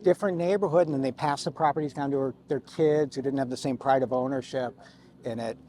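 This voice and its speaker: A man's voice: mid-range voice, steady tone, quick pace, warm tone. A man in his fifties hosting a casual interview in an agreeable manner, often chiming in with short affirmations. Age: 50s. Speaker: podcast host.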